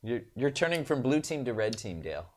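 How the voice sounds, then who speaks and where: A man's voice: mid-range voice, big pitch swings, fairly quick, a little mellow. A man co-hosting a live trivia stream from an office, teasing his partner in dry, casual banter. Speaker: co-host.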